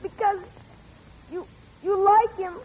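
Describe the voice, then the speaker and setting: Troubled small boy for vintage radio thriller; very high, stubborn, plaintive, dulled recording. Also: fairly even intonation, slow, a little mellow. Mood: resentful. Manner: plaintive, insistent, childlike. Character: troubled, stubborn young boy missing his mother. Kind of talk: 1940s radio drama acting. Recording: muffled 1940s radio recording.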